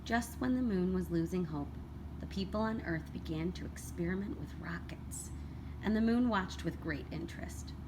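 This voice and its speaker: A woman reading a children's picture book aloud as a teacher would, in an expressive, deliberate storytelling manner. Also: lowish voice. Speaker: elementary school read-aloud teacher. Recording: clearly noisy background.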